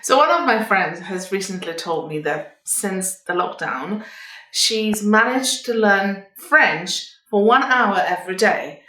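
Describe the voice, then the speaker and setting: A middle-aged woman giving advice to viewers as a vlog host, in a measured, explanatory manner. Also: mid-range voice, a bit bright. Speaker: communication coach and vlog host. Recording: echoey room, distant microphone.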